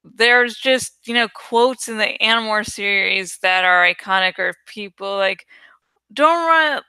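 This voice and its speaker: A woman reading aloud as an amateur audiobook host, expressive and informal in her delivery. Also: mid-range voice, vocal fry, smooth, no echo. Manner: informal, self-correcting. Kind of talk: expressive story narration with casual asides.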